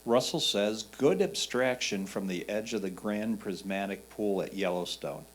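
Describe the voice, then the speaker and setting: A man's voice: fairly low pitch, measured pace. A man reading a judge's written photo comments aloud.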